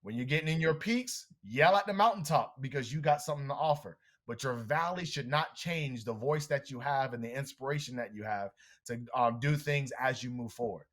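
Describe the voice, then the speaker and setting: A man who is a guest on a podcast, giving an energetic motivational talk full of storytelling and speaking straight to the listeners. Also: medium pitch, a little fast. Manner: animated, energetic, addresses listeners directly.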